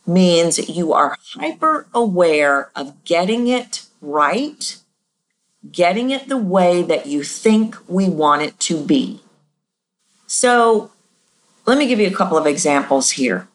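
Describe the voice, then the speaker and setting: A woman voice coach talks through listener questions on her podcast. Her speaking voice sits on the low side, yet she leaps up and down in pitch to demonstrate. She is candid and self-deprecating about her own habits. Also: big pitch swings, takes it slowly, poor audio.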